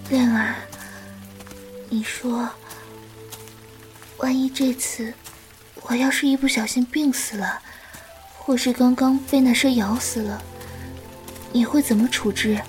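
An actress plays a frail young woman in a period wuxia radio play. Her voice is high and soft, turning breathy with weakness, and she speaks with weary tenderness. Music plays behind her. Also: steady tone, slow pace, long pauses. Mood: wistful. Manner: gentle, weary, tender, coaxing. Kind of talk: Chinese period wuxia audio drama acting.

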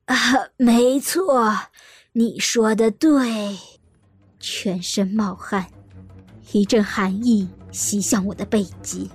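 A woman's voice: medium pitch, takes it slowly, darkish tone, breathy edge, soft background music. A woman acting a composed, guarded married lady who hides her anxiety, in an audio drama. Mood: anxious inner monologue. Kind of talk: Mandarin audio drama dialogue and inner monologue.

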